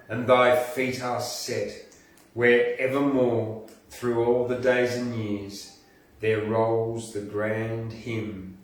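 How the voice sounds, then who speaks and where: A man hosting a poetry reading, reciting verse and making offhand remarks in a halting, deliberate manner. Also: fairly low pitch. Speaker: poetry podcast host and reader. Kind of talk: poetry recitation with casual asides between poems. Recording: echoey room, distant from the mic.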